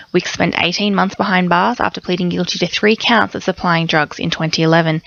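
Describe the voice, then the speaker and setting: A woman's voice: medium pitch, fairly bright tone, no echo, highs cut off. An Australian woman reading a scripted sports bulletin on the radio.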